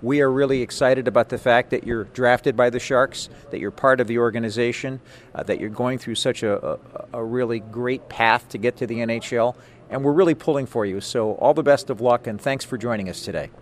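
A man's voice: mid-range voice, a little fast. A man hosting a conversational sports interview, talking in a wordy, encouraging way.

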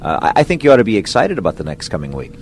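A man hosting a radio sports show, with a smooth and upbeat announcer delivery. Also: on the low side, huge pitch swings, very fast, slight background noise. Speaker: radio host. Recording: music bed under voice.

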